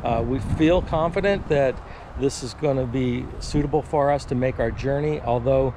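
A man's voice: medium pitch, moderate pace. Plainspoken older man outdoors with noise. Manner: plainspoken, practical, many uh fillers. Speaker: vlog co-host. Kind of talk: casual vehicle tour. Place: outdoors on a lawn beside a van. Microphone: camera at arm's length.

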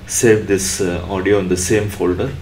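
Low-pitched man giving a halting tutorial. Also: steady tone, noisy background. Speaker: electronics tutorial presenter. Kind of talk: step-by-step tutorial narration.

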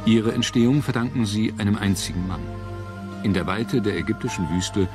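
Deep male narrator, measured and solemn documentary read, music clearly behind him.

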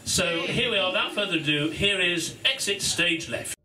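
A man's voice: sits high, animated, moderate pace, sharp tone. A man hosting a launch event in a pub, giving an informal, self-deprecating speech full of fillers and laughs. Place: pub function room. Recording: echoey room from far off.

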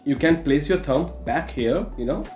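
A man's voice: a little high, expressive, moderate pace, a little mellow, echoey room. A young man with an Indian accent chats through a gadget unboxing in his English. He leans on fillers and restarts as he goes. Faint background music plays under his voice in the small studio room. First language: not English. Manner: chatty, many fillers like "you know". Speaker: tech reviewer. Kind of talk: casual unboxing review. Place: indoor studio room with curtains.

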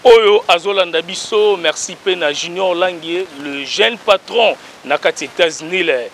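An energetic man, hosting a talk show from an outdoor garden. His voice sits high and sounds bright and forceful as he reels off greetings and talking points into a handheld mic. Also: unhurried, a little hiss or hum. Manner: energetic, emphatic, rattles off shout-outs. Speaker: host.

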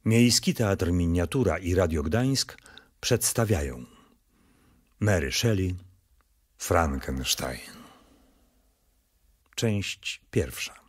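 A man with a breathy, whispery voice giving a hushed, scripted theatre announcement. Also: deep voice, animated, extremely slow, long pauses, no echo, studio quality.

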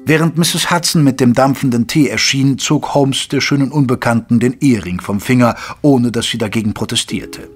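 An actor playing a caring gentleman physician who narrates a German audio drama in a measured, literary way. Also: medium pitch, slightly rough, faint music behind, natural voice. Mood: measured narrating.

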